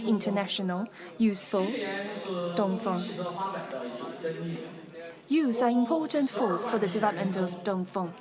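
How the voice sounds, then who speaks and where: A woman interpreter delivering a formal speech in measured, unevenly broken phrases. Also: medium pitch. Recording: loud crowd noise behind voice. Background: loud crowd sound.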